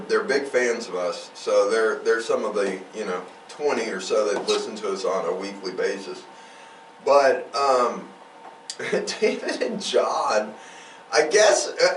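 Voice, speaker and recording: Animated podcast host, man in echoey room. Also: mid-range voice. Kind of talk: casual podcast chat. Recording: roomy echo, distant mic, faint noise.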